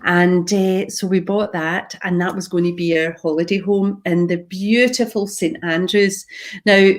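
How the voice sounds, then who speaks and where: A woman's voice: fairly low pitch, steady tone, unhurried. A middle-aged woman with a Glasgow Scottish accent, telling her own story in a chatty live talk, going off on tangents and asking viewers questions directly. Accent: Glaswegian Scottish. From Scotland, Glasgow. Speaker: public speaker.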